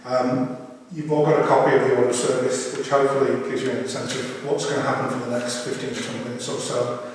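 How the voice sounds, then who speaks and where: A man leads a funeral service with an informal welcome speech, halting and searching for his words. He is picked up from far off in a chapel with heavy echo, with faint noise in the recording. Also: mid-range voice, little pitch movement. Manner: hesitant, halting. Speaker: family member leading a funeral service. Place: crematorium chapel. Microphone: distant camera at the back of the room. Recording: very echoey chapel, distant mic, faint noise.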